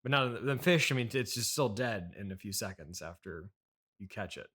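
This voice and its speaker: A man hosting an improvised comedy interview, deadpan, hesitant and politely puzzled. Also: on the low side, brisk, husky. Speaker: comedy podcast host and interviewer.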